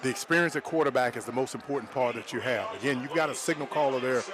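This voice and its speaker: A man's voice: mid-range voice, fast talker. A man giving conversational color commentary and analysis during a live football broadcast.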